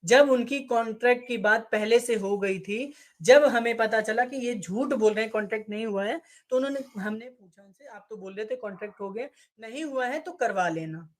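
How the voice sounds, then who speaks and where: A woman giving an unscripted, somewhat halting explanation to her viewers, recounting what others said. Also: on the high side.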